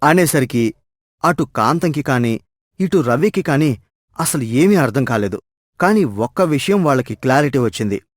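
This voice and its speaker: A man's voice: mid-range voice, expressive, moderate pace, no echo, clean studio sound. A man narrating a scripted moral story as a storyteller.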